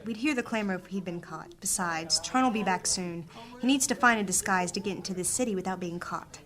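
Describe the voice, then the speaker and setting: An actress playing a loyal, trusting apprentice healer with an earnest manner in a fantasy audio drama. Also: female voice, mid-range voice, brisk, fairly bright tone, lightly acted. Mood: reassuring.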